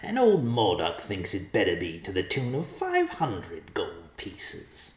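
A man's voice: sits low, wildly swooping pitch, slow, echoey room, heavily acted. A man voicing a greedy, sly little bearded bandit in an audiobook-style story, with folksy rustic grammar and a cocky, wheedling manner. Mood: menacing, gloating.

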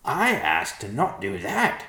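A man voicing an excitable, fearful, pleading vulture in a storybook, with a shrill, strained voice. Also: slow pace, reverberant, full character voice. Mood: horrified, refusing. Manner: exclamatory, repeats words in agitation. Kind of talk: character voice in storybook narration.

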